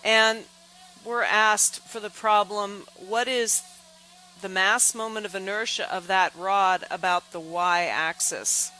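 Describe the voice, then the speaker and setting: Bright-voiced woman teaching step by step. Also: medium pitch, steady tone, poor audio. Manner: deliberate, halting phrasing with repeated words. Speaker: engineering instructor. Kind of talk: step-by-step lecture explanation.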